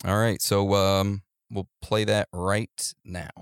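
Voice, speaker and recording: A man's voice: lowish voice, flat delivery, slow pace, smooth, no room sound, clean studio sound. A young man hosting a casual podcast, rambling and laughing easily as he answers listener messages. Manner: warm, rambling, laughs often. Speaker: podcast host.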